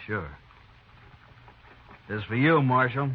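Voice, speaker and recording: An actor playing a surly, defiant cowhand villain in a 1950s radio western, sneering and challenging in an American accent. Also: male voice, medium pitch, takes it slowly, close to an everyday voice. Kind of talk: 1950s radio drama acting. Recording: noisy, dull old radio recording.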